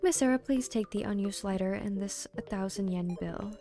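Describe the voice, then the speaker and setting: A woman's voice: medium pitch, normal speed, dark tone, faint music behind, pitched below the performer's own voice, close to an everyday voice. A woman voicing a stuffy male professor in an adventure game, formal, stilted and easily flustered.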